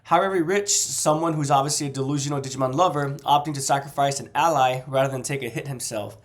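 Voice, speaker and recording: A man's voice: mid-range voice, fast talker, natural voice. A man with a cold reading aloud the mocking lines of a contemptuous male gang leader. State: congested from a cold.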